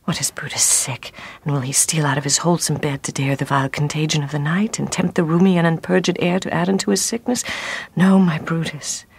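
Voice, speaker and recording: A woman's voice: low voice, steady tone, a little fast, creaky, hardly any pauses, a little mellow, a little hiss or hum. An actress playing a devoted Roman noblewoman pleading with her husband in a classical radio drama, with urgent verse delivery.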